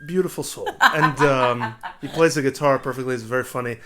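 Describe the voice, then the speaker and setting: A man's voice: mid-range voice, a little fast, pushed and bright. A man chatting as a podcast guest, blunt and rambling, often restarting his sentences. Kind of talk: casual podcast chat. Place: comedy club.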